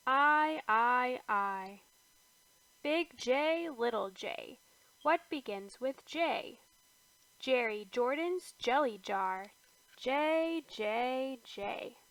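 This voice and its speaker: A woman reads an alphabet picture book aloud for toddlers, her high voice rising on each capital letter and sinking to a near hush on the repeats. She takes everything very slowly, with lots of space between words. The sound is close and dry, with no room around it. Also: no room sound, poor audio. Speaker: children's book reader. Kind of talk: slow read-aloud for toddlers.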